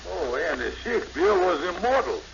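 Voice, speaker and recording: A high-pitched, pompous schemer in a 1950s radio comedy, blustering through grand words he gets wrong. The recording is muffled and buried in loud noise. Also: big pitch swings. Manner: pompous, fast-talking, mangles grand words. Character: scheming blustery middle-aged male con man giving bogus lessons.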